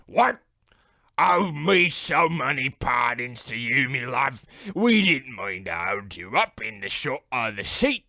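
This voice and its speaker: A man's voice: medium pitch, very animated, slow pace, gravelly, bright, forceful tone, no echo, studio quality, heavily acted. A man playing a chummy, bumbling Cockney chimney sweep villain in a comic radio play, full of theatrical banter. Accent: exaggerated Cockney. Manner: theatrical rhyming-slang banter. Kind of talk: comic old-time radio play acting.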